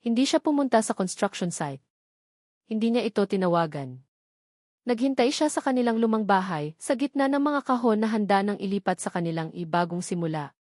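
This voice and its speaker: A woman's voice: mid-range voice, expressive, a little fast, a little mellow, no echo, studio quality. A woman with a Filipino accent reading a scripted love story as a dramatic narrator.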